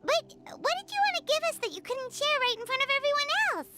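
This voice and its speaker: A woman voicing a chirpy, excitable tiny floating fairy companion in a game, who talks about herself by name. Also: very high voice, fast talker, over loud music. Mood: curious.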